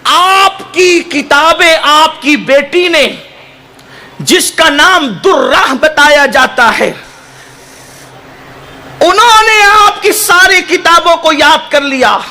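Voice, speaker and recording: Man, Islamic sermon, extremely high voice, sharp forceful tone, exhortatory oratory, repeated phrases. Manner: exhortatory, oratorical, with rhetorical repetition; impassioned, often rising to shouts. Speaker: Islamic preacher.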